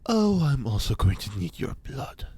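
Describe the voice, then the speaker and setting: A man's voice: mid-range voice, sing-song, normal speed, a little mellow, husky. An actor playing an eccentric, pompous male scientist who lectures grandly and theatrically in an audio drama. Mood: lecturing. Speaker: reporter's informant.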